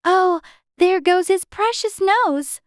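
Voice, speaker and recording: A woman's voice: very high-pitched, slow pace, dry recording, clean studio sound. A woman voicing an anxious, polite, know-it-all little girl in a dramatized storybook audiobook. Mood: alarmed, pleading.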